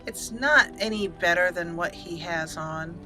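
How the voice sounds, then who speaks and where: A woman's voice: on the low side, unhurried. A woman hosting a casual let's play, chatty and thinking aloud as she goes. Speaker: host, gamer. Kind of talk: casual let's play commentary with roleplayed dialogue. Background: soft game music.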